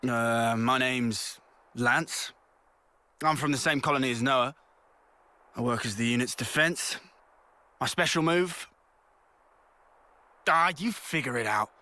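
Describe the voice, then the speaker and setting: A man's voice: medium pitch, expressive, slow pace, pauses often, pushed and bright. A man playing a brash, grumbling young soldier in a video game, blunt and grouchy. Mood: grudging, irritated.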